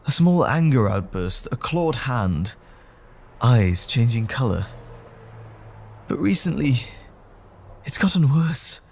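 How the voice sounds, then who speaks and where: A man playing a troubled, apologetic gentleman scientist in an audio roleplay drama, speaking in a formal, halting, anxious way. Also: medium pitch, sing-song, vocal fry, full character voice. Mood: anxious, strained.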